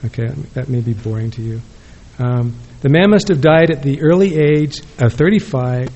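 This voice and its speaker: A man's voice: mid-range voice, lively intonation, moderate pace, warm tone. A man giving a rambling, self-correcting response at an academic conference, speaking into a podium microphone. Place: conference room with audience.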